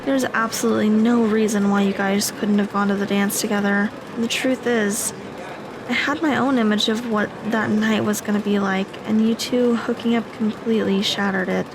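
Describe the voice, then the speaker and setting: A woman playing an earnest, apologetic teenage high school girl in an audio drama. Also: steady tone, normal speed, noisy background, lightly acted.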